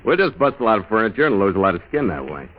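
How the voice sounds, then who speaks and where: An actor playing a big, brash, cocky sailor in a 1940s radio adventure, easygoing but needling and insistent. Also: male voice, lowish voice, sing-song, rapid-fire. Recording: muffled old radio recording.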